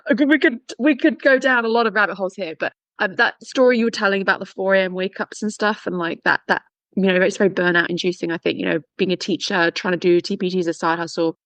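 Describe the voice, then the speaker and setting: A woman's voice: medium pitch, quick pace, a bit bright, dry recording. A woman hosting a podcast, chatting casually and laughing often. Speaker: podcast host.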